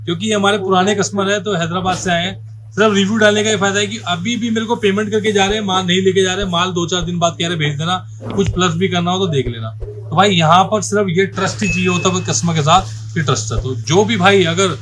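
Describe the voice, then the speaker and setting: High-voiced salesman pitching car parts. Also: steady tone, fast talker, background noise. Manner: pitchy salesman, keeps calling viewers brother. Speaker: auto accessories shop salesman. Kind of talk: sales pitch walkthrough video. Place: car accessories shop.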